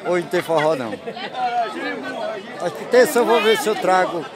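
Guy with northeast brazil accent, lively up and down voice, filming in a noisy crowd outside. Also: high-pitched, a little fast. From Brazil, rural Pernambuco. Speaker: vlogger filming at a livestock fair. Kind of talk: casual chat while filming. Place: outdoors at an open cattle fair. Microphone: handheld phone behind the camera. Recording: busy outdoor crowd noise. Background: crowd chatter.

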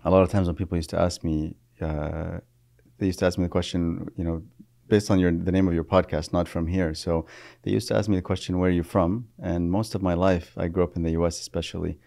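Low gravelly man, hesitant and thoughtful. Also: flat delivery, brisk, mellow, dry recording, clean studio sound. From Iraq. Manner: hesitant, many fillers and restarts. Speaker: therapist guest. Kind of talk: reflective podcast interview. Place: podcast studio, indoors. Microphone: studio mic on boom arm.

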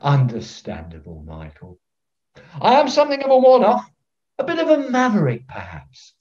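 A pompous, eccentric older football referee in a comedy. His delivery is theatrical and self-important, with a very animated pitch. The sound is dull and lo-fi, like a video call. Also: moderate pace. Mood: grandly self-important. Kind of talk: filmed comedy acting. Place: room at home, on a video call.

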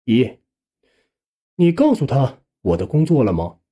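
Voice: mid-range voice, very animated, unhurried, warm tone, breathy edge, pitched below the performer's own voice. A narrator voicing a reluctant young nephew in an audio story, colloquial and given to complaining. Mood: curious.

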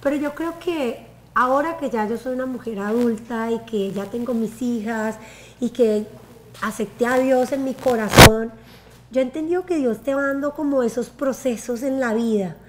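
Colombian lady in her 40s, kinda high voice, lively storytelling guest, lapel mic on a sofa. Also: moderate pace, poor audio. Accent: Colombian Spanish. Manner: animated, gestures with her hands. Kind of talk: casual interview storytelling. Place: bright living room with a sofa and water view.

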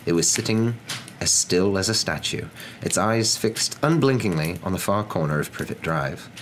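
A young man narrating a story in a soft, dramatic ASMR reading style. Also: low-pitched, expressive, moderate pace, a little hiss or hum, rough recording. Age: young adult.

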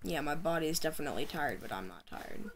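A woman's voice: deep voice, a little hiss or hum. A young woman chatting playfully through her gameplay, her voice tired late at night, with yelps when she panics. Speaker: gaming channel host. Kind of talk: casual gameplay commentary. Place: home room at night.

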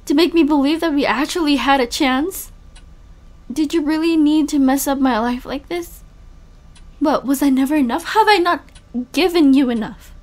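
A woman performs a young female spy in an emotional audio drama. Her voice sits high. She is guarded and bitter, carrying the hurt of betrayal. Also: moderate pace, close to an everyday voice. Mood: accusing, hurt. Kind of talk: emotional audio roleplay acting.

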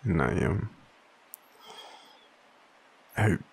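An Australian man playing an overwhelmed, devoted, self-deprecating partner in an intimate audio roleplay, speaking haltingly with sighs. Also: deep voice, lively intonation, gravelly, breathy edge, full character voice. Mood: apologetic, hesitant. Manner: halting, hesitant, sighing.

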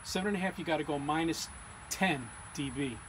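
A man with a fairly high-set voice walks through a technical procedure. He is plain-spoken and informal, going one step at a time like a technician showing a learner. There is noticeable ambient noise behind him. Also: fairly quick, fairly bright tone. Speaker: technician demonstrating equipment calibration. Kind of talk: informal hands-on tutorial. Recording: clear background hum or ambient noise.

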